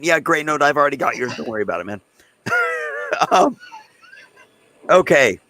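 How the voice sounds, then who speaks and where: A man with a hoarse, fading voice hosting a casual livestream and talking loosely and chattily to his viewers. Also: mid-range voice, a bit bright, slight background noise. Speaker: host of a live gun channel stream.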